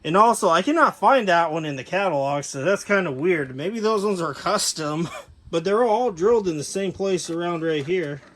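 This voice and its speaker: A man giving a casual, unscripted show-and-tell as a hobbyist presenter, talking in a rambling, halting, detail-focused way. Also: high voice, lively intonation, fairly bright tone. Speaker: hobbyist presenter showing his speaker collection.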